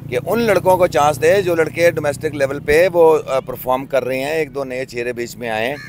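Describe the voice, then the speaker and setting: Earnest middle-aged man with halting fillers. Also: on the high side, slight background noise. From Pakistan. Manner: earnest, many hesitation fillers. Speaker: club cricketer, interviewee. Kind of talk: opinionated casual talk. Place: outdoors by a park road. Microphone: handheld mic.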